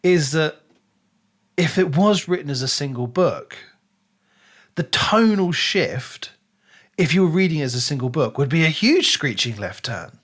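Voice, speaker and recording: A man's voice: fairly high pitch, animated, unhurried, long pauses, fairly bright tone. A man co-hosting a book podcast, talking casually and with firm opinions, often repeating words for emphasis.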